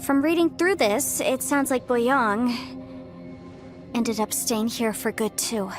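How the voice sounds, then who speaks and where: A woman's voice: on the high side, animated, takes it slowly, over music. An actress playing an earnest, careful young woman in a video game, speaking in an articulate way.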